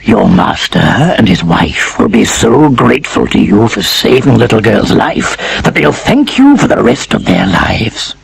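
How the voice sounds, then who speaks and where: A man voicing a clever old male wolf in a storybook, gentle and sly, speaking in slow, hushed, scheming tones. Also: lowish voice, sing-song, creaky, slight background noise, voice pushed down, exaggerated voice. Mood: sly, coaxing.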